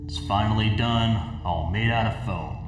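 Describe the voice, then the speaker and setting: Guy doing a menacing game villain, bitter and taunting, drags his words out, loud music behind. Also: lowish voice, unhurried, reverberant, lightly acted.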